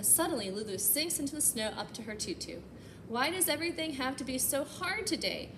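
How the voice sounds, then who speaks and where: A woman with a fairly high voice reads a story to young children as a storytime host. Her delivery is expressive and emphatic, slipping easily into chatty asides. The recording has clear background noise around her voice. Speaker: library storytime host reading a picture book aloud.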